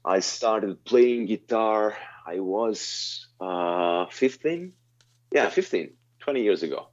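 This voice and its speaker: A man in his thirties with a very deep voice, answering interview questions in a halting, self-correcting way. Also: expressive. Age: 30s. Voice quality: very low, deep voice. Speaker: guest vocalist. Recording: slightly dull lo-fi sound.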